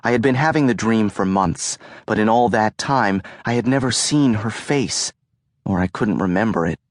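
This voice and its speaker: A man narrating an audiobook in the first person, telling the story in an earnest, measured way. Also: on the low side, no echo, clean studio sound.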